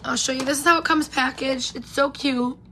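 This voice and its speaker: A woman's voice: sits high, steady tone, fairly quick, background noise. A woman in her thirties chatting casually while doing her makeup on a live stream, her voice worn and going. She rambles and greets viewers by name. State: losing her voice. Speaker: beauty vlogger. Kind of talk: casual get-ready-with-me live chat. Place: home room with pink neon lights, indoors. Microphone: camera in front at close range.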